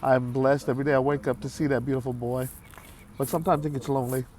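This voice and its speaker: Late-fifties man, vlogger, heartfelt and halting, mid-pitched voice, faint background noise. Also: fairly even intonation, a little mellow. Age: 50s. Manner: halting, heartfelt, repeats himself with many fillers. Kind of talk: candid talk to camera.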